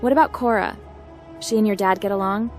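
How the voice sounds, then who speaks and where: A confident hacker heroine voiced by a woman in a video game roleplay. Her voice is mid-pitched, cool and direct with a wry streak, over soft background music. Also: fairly quick, natural voice. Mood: pressing.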